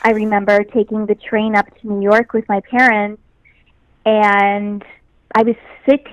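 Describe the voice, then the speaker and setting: A young adult actress with a high voice, chatting easily as an interview guest and laughing often. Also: female voice, level tone, measured pace, dry recording, muffled recording. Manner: easygoing, laughs often, talks about herself in a light way. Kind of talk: casual interview chat.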